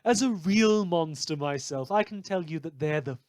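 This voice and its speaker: A man's voice: fairly high pitch, animated, normal speed, darkish tone, no room sound, natural voice. A man playing a sardonic villain who hosts a post-apocalyptic survivalist radio show, with a theatrical, sarcastic delivery. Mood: wry, scornful. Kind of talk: fiction podcast as in-character radio monologue.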